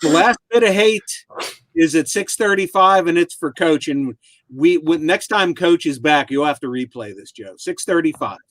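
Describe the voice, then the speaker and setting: A man's voice: on the high side, expressive, normal speed, fairly bright tone, no echo. Man hosting a chat show. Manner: plain, moves the show along. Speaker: host. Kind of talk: casual chat.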